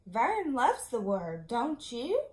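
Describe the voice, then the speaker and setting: A woman with a fairly high, very lively voice reads a storybook to small children. She is expressive and teacherly and checks in with her young listeners. The room around her is clearly echoey. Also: takes it slowly. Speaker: schoolteacher. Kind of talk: picture book read-aloud for young children. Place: small indoor classroom-style room.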